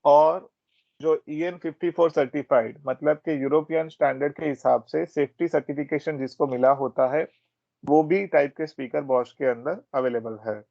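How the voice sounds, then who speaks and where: Indian man presenting, slightly creaky, muffled audio. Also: fairly high pitch, moderate pace, no room sound. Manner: explanatory, switches between Hindi and English. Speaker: technical product trainer at a systems company. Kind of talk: informal training presentation. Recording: dull, band-limited close audio.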